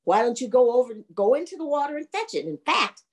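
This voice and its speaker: A woman storyteller speaks as a young folk-tale hero. He is cocky and unflappable, teasing with breezy nonchalance and a very lively, varied pitch. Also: fast talker. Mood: mocking, breezy.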